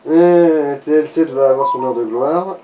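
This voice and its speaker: A man's voice: fairly high pitch, a little slow, muffled recording. A man giving colloquial, slangy commentary as co-host of a gaming session. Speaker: let's play co-host. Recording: distant mic, faint background noise.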